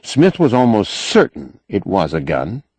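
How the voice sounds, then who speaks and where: A man reading a novel aloud as an audiobook narrator, in an expressive, measured storytelling manner with careful phrasing. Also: fairly low pitch, gravelly, breathy edge, no room sound. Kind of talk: scripted narration of a science fiction novel.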